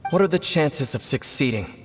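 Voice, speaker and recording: An actor playing a resolute young royal leader of a dark order in a video game, formal and grave. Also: male voice, medium pitch, expressive, normal speed, natural voice. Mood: solemn, resolute. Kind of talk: video game cutscene voice acting. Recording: reverberant hall sound, faint music. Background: faint music.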